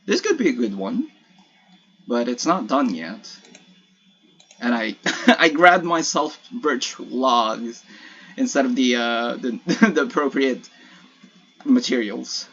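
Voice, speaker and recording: A young man whose first language is Ilocano rambles in English over his gameplay. He stumbles, restarts and corrects himself as he goes. His voice sits at a middle pitch, and the home mic sounds a little dull and lo-fi. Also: big pitch swings, takes it slowly, long pauses, fairly bright tone, slightly rough. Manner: hesitant, many restarts and self-corrections, laughs at himself. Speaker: solo podcaster playing a block-building game. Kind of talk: casual rambling commentary while gaming.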